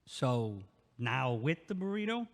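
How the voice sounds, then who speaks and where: A man's voice: mid-range voice, big pitch swings, takes it slowly. An actor playing a crude, irritable, sarcastic male neighbor in an audio play. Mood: grudging, sulky. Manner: brash, sarcastic, grumbling. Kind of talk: stage-like audio play acting. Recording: echoey hall with long reverb.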